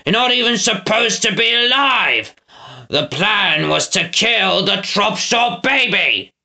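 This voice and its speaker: A reader voicing a pompous, scheming villain boss, a man with a slow, drawn-out, menacing delivery in a storybook reading. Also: medium pitch, huge pitch swings, gravelly, pushed and bright, heavily acted. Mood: angry.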